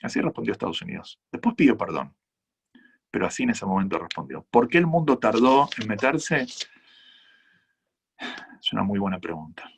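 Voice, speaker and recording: An Argentine-accented rabbi answers audience questions in an earnest, measured way. His low voice carries a husky edge. He is a man speaking at a conference. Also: big pitch swings. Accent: Argentine Spanish. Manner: earnest, measured, reflective explanations. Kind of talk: conference Q&A talk.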